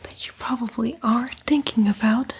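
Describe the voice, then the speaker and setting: A woman voicing a smug, seductive female demon, a fallen angel, in a breathy, coaxing ASMR roleplay whisper. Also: fairly high pitch, slight background noise, natural voice. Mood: coaxing, scornful. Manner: slow, coaxing, taunting, drawn-out whisper.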